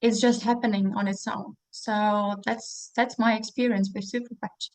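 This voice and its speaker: A woman's voice: a little high, steady tone, normal speed, a little mellow, no room sound, low-quality recording. A woman from the Czech Republic with a Czech accent, hosting a casual interview and talking chattily with fillers and restarts. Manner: chatty with frequent fillers and restarts, laughing now and then. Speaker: host.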